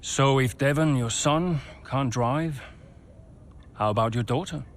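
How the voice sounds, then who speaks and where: An actor playing a racing team boss who talks in a persuasive, reassuring way. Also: male voice, mid-range voice, measured pace, a little hiss or hum, natural voice.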